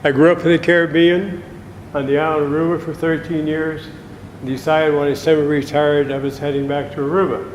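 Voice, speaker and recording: A man in his seventies introducing himself at a meeting in a relaxed, plain-spoken way. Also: fairly high pitch, brisk, a little hiss or hum. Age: 70s. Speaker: marketing consultant and photographer. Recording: echoey room with long reverb.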